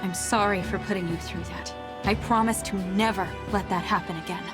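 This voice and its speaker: Woman as an earnest heroine, medium pitch. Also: fairly even intonation, a little fast, over music, lightly acted. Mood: relieved sincerity. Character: earnest, kind young heroine leading an army. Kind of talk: video game cutscene voice acting.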